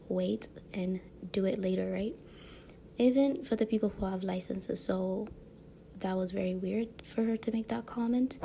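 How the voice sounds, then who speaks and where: Woman, light french accent, chatty rambling monologue, relaxed low tone, slight fry. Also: a little hiss or hum. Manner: rambling, many fillers and tag questions; frequent soft laughs. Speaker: vlogger. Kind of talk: casual monologue to camera.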